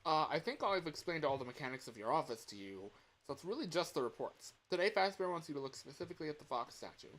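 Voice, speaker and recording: Man acting chatty, resigned office coworker, lively pitch. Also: fast, low-quality recording. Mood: upbeat, explaining. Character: chatty male coworker, weary family man training newcomer. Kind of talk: rehearsed game voice lines. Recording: clear background hiss.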